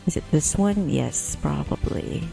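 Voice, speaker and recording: A woman's voice: sits low, animated, unhurried, creaky, dark tone, low-quality recording. A woman livestreamer chatting casually as she sets up a game, giggly and given to exclamations. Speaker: livestreamer host. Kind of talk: casual livestream chat while setting up and playing a visual novel. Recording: music playing behind the voice. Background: background music.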